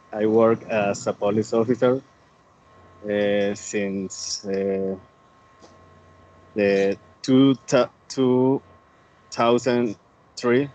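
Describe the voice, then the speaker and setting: A man's voice: lowish voice, a little mellow. A man in his thirties with a Colombian Spanish accent, introducing himself to his class over a video call with many fillers and restarts. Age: 30s. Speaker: student. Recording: muffled call audio, faint noise.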